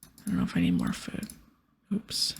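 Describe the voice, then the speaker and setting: A woman doing whispered ASMR gameplay narration, with soft murmured asides to herself. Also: normal speed, a little hiss or hum. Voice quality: whispery, breathy. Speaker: game streamer reading quest dialogue.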